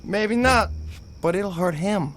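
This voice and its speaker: An actor playing a stern, protective, stubborn young older brother who gives terse commands in a radio drama. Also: male voice, sits high, slow pace, a bit bright. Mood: stern, firm. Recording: reverberant hall sound, faint music.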